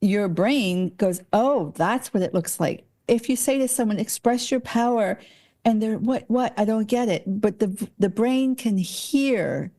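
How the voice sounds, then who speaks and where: A woman's voice: medium pitch, unhurried, mellow. A woman hosting a podcast interview, casually chatting and searching for her words mid-thought. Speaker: podcast host.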